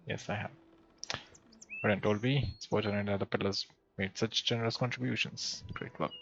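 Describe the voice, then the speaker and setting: Low, gravelly male game streamer. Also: steady tone, normal speed, fairly bright tone, highs cut off, a little hiss or hum. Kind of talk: casual gameplay commentary, reading quest dialogue aloud. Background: game music.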